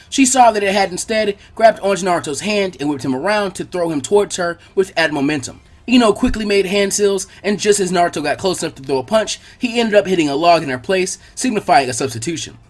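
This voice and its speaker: A man reading a scripted action story aloud as its narrator. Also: sits high.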